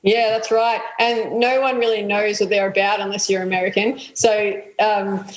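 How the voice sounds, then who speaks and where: A woman in her thirties with an Australian accent, answering interview questions in a relaxed way, with lots of ums and yeahs. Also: medium pitch, normal speed, echoey room, rough recording. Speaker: athlete guest.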